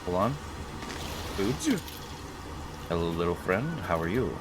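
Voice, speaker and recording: A man's voice: low voice, big pitch swings, slow, background noise. A man doing casual, joking let's-play commentary, chatting to himself and to game characters. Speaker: gaming streamer. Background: video game ambience.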